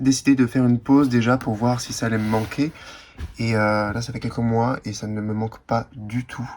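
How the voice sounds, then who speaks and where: A man's voice: medium pitch, darkish tone. A man in his late twenties giving a candid, hesitant personal vlog monologue, searching for his words as he reflects. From Switzerland or France. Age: late 20s. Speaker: vlogger. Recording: background music.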